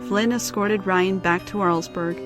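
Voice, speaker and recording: A woman's voice: medium pitch, steady tone, moderate pace, over music. A woman reading scripted storybook narration for an audio drama.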